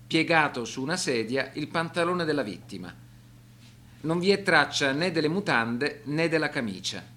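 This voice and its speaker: A man's voice: fairly high pitch, bright, forceful tone. A man reading scripted documentary narration for a radio drama in a formal, factual, carefully phrased way.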